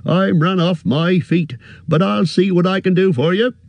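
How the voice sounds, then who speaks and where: A man reading aloud and voicing a fat, bustling, forgetful middle-aged innkeeper in a high, flustered voice. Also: normal speed, creaky, dry recording. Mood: harried. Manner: flustered, chattering, high-pitched.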